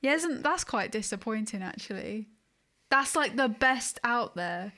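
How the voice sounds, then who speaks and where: A woman's voice: fairly high pitch, animated, unhurried, pushed and bright. A woman talking casually as the co-host of a podcast about games and films.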